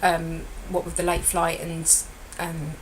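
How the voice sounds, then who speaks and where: A middle-aged woman with a slightly croaky voice, giving a chatty, rambling diet vlog update as a weight loss consultant and often correcting herself. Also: on the low side, completely flat delivery, slow pace, slight background noise, rough recording.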